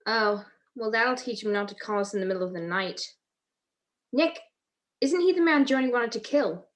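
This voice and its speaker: A woman plays a detective's witty wife in an old radio mystery. Her voice is on the high side, and she stays unflappable, droll and teasing. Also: lively intonation, normal speed, close to an everyday voice. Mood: drily amused. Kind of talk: 1940s radio comedy-mystery acting.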